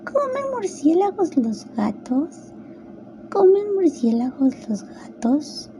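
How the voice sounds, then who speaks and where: A very high, soft-edged little girl's voice, acted out by a woman, curious and dreamy. She wonders aloud to herself with a wistful air, as in a storybook radio play. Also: sing-song, slow pace, slight background noise, full character voice, low-quality recording. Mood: sleepy wondering. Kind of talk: storybook radio drama acting.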